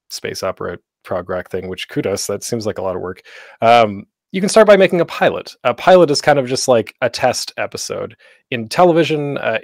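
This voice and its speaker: A man's voice: on the low side, no echo, clean studio sound. A man giving an informal, conversational instructional talk as a presenter, with a self-deprecating manner.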